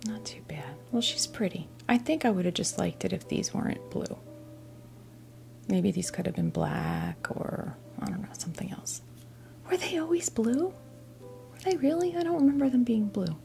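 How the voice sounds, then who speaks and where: A woman hosting an ASMR doll unboxing, chatting casually and softly to camera, gushing over small details in an unhurried way. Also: on the high side, sing-song, gravelly, soft background music.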